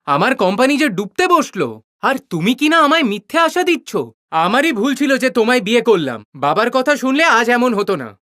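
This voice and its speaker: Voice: medium pitch, sing-song, fairly quick, fairly bright tone, no room sound, studio quality. A performer voicing a bitter young husband in a cartoon, accusing, demanding and exasperated. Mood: bitter, blaming. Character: bitter, blaming young husband, failing businessman. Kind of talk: cartoon character dialogue.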